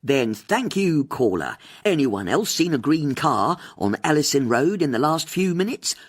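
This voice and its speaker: A man reading aloud, voicing a brisk, upbeat woman who presents a local radio show. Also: fairly high pitch, very animated, husky, voice pushed up, exaggerated voice. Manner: upbeat radio personality, breezy.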